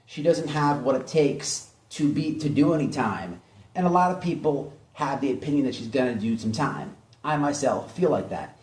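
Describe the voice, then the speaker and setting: A man's voice: mid-range voice, lively intonation, moderate pace, darkish tone. A man giving opinionated drama commentary in a blunt, mocking way, hammering points home with repetition. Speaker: online commentary host. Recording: echoey room, distant mic.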